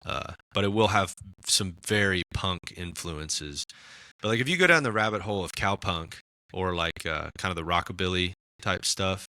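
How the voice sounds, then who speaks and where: A raspy-voiced man in casual podcast chat, talking about his music with lots of fillers and restarts. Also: sits low, lively intonation, moderate pace, sharp tone, dry recording, studio quality. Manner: rambling, many fillers and restarts. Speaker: musician guest.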